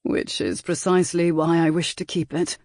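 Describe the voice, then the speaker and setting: A woman's voice: fairly low pitch, fairly even intonation, normal speed, darkish tone, no echo, studio quality, natural voice. A woman reading a teasing aristocratic rake. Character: languid, teasing aristocratic rake, adult man.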